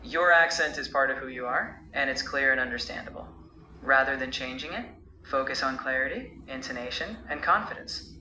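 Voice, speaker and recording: Bright-toned AI tutor voice, male. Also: medium pitch, echoey room, a little hiss or hum, rough recording. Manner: warm, measured, coaching. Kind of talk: encouraging spoken feedback.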